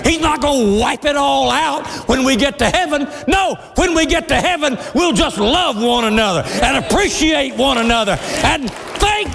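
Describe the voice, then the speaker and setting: A middle-aged pastor preaching a sermon with passion, often shouting and laughing as he speaks. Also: extremely high pitch, animated, normal speed, gravelly, few pauses. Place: church sanctuary. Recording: long hall reverb.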